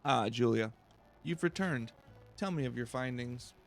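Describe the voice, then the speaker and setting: A man's voice: mid-range voice, measured pace, soft background music, lightly acted. A man voicing a proud, nervous female scholar from a fantasy game, formal and prone to stammering. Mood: flustered.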